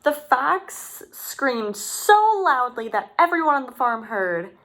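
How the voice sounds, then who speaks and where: Lady teacher reading a kids story, high voice, really animated. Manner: expressive, deliberate, addressing young children. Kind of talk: children's storybook read-aloud.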